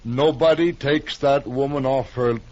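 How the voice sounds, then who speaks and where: A man's voice: mid-range voice, a little slow, a little mellow, natural voice. A man playing a sentimental drunk in a radio western, rambling with grand admiration. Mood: tipsy, admiring. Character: tipsy, admiring male saloon drinker, sentimental. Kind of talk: old-time radio western acting. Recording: dull lo-fi radio drama recording.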